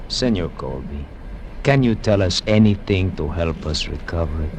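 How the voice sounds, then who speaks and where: A very low-voiced, proud Spanish-accented gentleman scholar in an old radio play. He speaks formally and courteously, pleading his case over faint recording noise. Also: animated, moderate pace. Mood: earnest pleading. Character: proud, courteous South American male archaeologist, a gentleman. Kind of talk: old-time radio drama acting.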